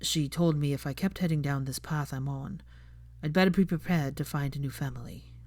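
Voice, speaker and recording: Woman voicing a low, murmuring, weary young man. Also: a little fast, darkish tone, no echo. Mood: heavy, tender. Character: young British man, dry, weary, tenderly teasing lover. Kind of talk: fan fiction audiobook dialogue.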